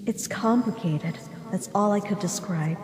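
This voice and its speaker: A woman voicing a gentle, wistful monster mother back from death, speaking tenderly and reflectively in a dramatic comic dub. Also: mid-range voice, unhurried, background noise, close to an everyday voice. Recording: reverberant hall echo, music behind.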